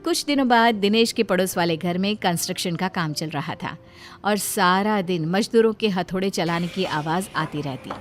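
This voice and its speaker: A woman's voice: lowish voice, moderate pace, soft background music. A woman reading scripted narration as a storyteller.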